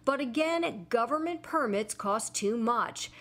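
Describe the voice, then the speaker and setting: A woman's voice: a little high, animated, unhurried, fairly bright tone, a little hiss or hum. Woman reading a news report. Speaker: news show host. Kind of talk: scripted news narration and studio interviewing.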